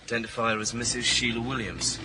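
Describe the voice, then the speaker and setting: An actor playing a brisk, matter-of-fact police officer giving a report in a radio crime drama. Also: male voice, mid-range voice, natural voice. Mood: businesslike. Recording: loud outdoor street and vehicle noise.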